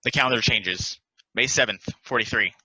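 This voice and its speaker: A man narrating stage directions at a play table read in a plain, deliberate, announcing manner. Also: medium pitch, pushed and bright, slightly rough, no room sound, rough recording.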